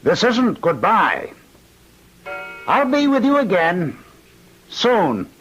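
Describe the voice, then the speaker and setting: An actor playing a taunting condemned killer in an old radio drama, mocking and theatrically calm. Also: male voice, high-pitched, slow pace, lo-fi sound, over music, heavily acted. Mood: mocking, ominous. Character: taunting condemned killer, man claiming to be immortal Ripper. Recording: noisy old radio recording with clicks.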